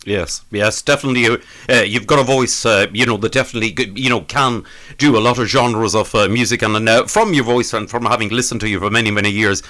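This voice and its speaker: A man's voice: fairly low pitch, fairly even intonation, quick pace, sharp tone. A man hosting a radio interview, hesitant with lots of filler sounds and repeated agreement. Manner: hesitant, many "uh"s, repeats "indeed so". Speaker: radio show host.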